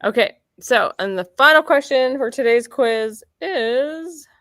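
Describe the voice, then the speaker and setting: A woman's voice: high voice, lively intonation, unhurried, a bit bright, no room sound, studio quality. A woman hosting a casual real estate chat, upbeat and chatty, correcting herself as she goes. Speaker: realtor and show host. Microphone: computer mic near a keyboard.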